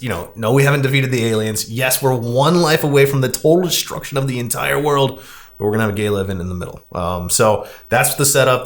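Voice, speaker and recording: Podcast host, man, casual and halting, wanders through retellings. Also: medium pitch. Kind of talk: casual chat, recapping a film.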